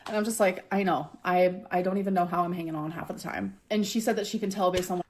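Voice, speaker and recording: A woman's voice: on the low side, steady tone, fast. A woman talking to her camera in a chatty, gushing vlog style, full of fillers. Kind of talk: casual vlog talk to camera.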